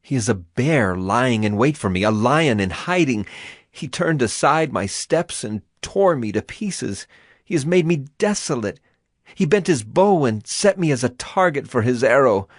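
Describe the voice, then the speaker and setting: An actor playing a grieving, afflicted prophet in a dramatized scripture reading, with solemn, emphatic and deliberate phrasing. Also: male voice, medium pitch, lively intonation, no room sound, clean studio sound. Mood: anguished lament. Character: grieving, afflicted male prophet lamenting and praying.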